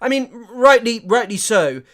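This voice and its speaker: A man giving chatty live-stream commentary, animated and full of fillers and restarts. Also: extremely high pitch, normal speed.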